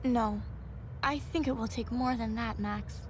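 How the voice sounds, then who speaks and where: A woman acting a gentle, devout young student in a video game, fragile but hopeful, earnest and sincere. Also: fairly high pitch, fairly even intonation, unhurried, a little hiss or hum, lightly acted. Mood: earnest, reflective. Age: young adult. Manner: soft-hearted, earnest, sincere.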